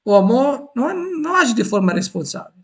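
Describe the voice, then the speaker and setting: A man from Italy with an Italian accent, giving an informal Bible lesson in a halting, repetitive way with frequent tag questions. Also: very high voice, very animated, creaky, a bit bright. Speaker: Bible teacher. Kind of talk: informal Bible teaching to camera.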